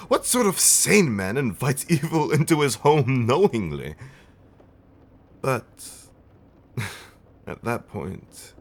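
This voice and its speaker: A man playing an affectionate, centuries-old vampire husband in an ASMR roleplay, telling stories in a theatrical, teasing, old-fashioned way with dramatic swells and whispers. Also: medium pitch. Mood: exasperated delight, then wistful, fond.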